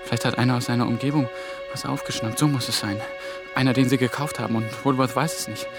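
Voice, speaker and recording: Casting: male actor as panicky young man on the run, mid pitch, breathless self-talk, radio thriller with loud music underneath. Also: steady tone, quick pace, lightly acted. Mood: nervous reasoning. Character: frantic young intern fleeing killers, panicked, self-reproaching. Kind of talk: radio drama acting, agitated inner monologue.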